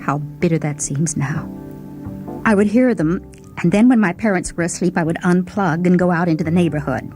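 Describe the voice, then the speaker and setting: A woman performing a short story as an obese, food-obsessed young woman and devoted radio fan, confiding and savoring her words with dramatic pauses. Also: fairly low pitch, a little mellow, close to an everyday voice. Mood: wistful, bitter. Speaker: story reader. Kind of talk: dramatic first-person short story reading. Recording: music bed under the voice. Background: instrumental music with plucked guitar.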